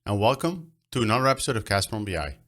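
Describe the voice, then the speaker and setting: Upbeat man hosting a show, lowish voice. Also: sing-song, rapid-fire, fairly bright tone. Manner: enthusiastic, upbeat. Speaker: podcast host. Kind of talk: casual video-call interview. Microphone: video call.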